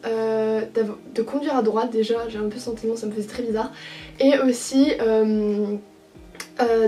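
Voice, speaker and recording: A woman's voice: fairly high pitch, dark tone, far from the mic. Hesitant young French woman vlogging. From France. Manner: hesitant, many fillers and restarts. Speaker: au pair vlogger. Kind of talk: casual reflective monologue.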